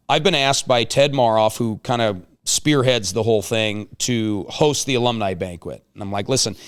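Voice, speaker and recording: A man's voice: fairly low pitch, clean tone. A man hosting a casual talk show, chatting with guests in a playful, joking way. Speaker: show host.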